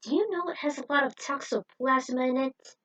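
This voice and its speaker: A woman's voice: sits high, brisk, fairly bright tone, recorded from a distance, pitched above the performer's own voice, low-quality recording. A woman voicing an outspoken female pilot who explains things in a matter-of-fact way for a story. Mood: knowing.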